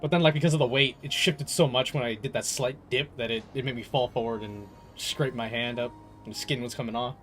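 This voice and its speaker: A man livestreaming a game and chatting casually with viewers in a jokey, animated storytelling manner. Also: mid-range voice, brisk. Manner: animated storytelling, jokey, swearing, laughs often. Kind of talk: casual chat with viewers while gaming. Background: game music.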